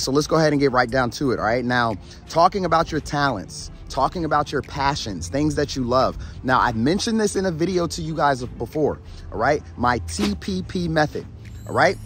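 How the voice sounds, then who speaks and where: A hoarse man talking to camera, his voice worn from coaching all weekend and a little higher than most men's. He is tired but pumped, preaching to viewers in a repetitive, energetic way. Faint music plays under him. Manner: energetic, preachy, repeats "all right" and "family". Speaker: motivational YouTube host and coach. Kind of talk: motivational talk to camera. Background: background music.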